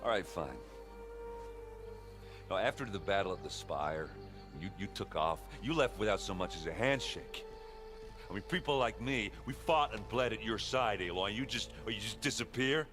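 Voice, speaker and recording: A man acting a gruff, wisecracking battered warrior in a video game cutscene, loyal but sardonic and halting. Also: on the low side, lively intonation, music in the background, lightly acted. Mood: hurt, bitter.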